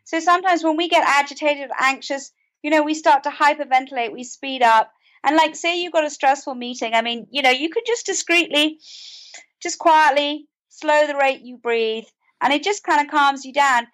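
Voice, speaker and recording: High-pitched British woman in conversation. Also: normal speed, a bit bright, low-quality recording. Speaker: podcast guest, author and mental health advocate. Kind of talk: conversational interview.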